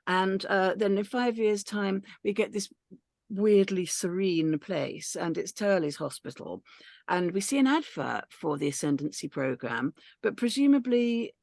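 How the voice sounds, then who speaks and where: A woman's voice: medium pitch, expressive, measured pace, vocal fry, darkish tone, no echo, clean studio sound. A woman on a script reading panel, thinking aloud in a tentative, casual way.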